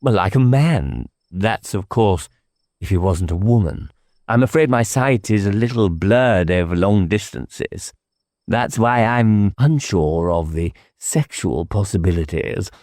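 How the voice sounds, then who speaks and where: A man's voice: on the low side, expressive, a little slow, a little mellow, no echo, studio quality. A man voicing a whimsical, evasive village clergyman with a coy, wordy and mannered way of talking, in an audiobook.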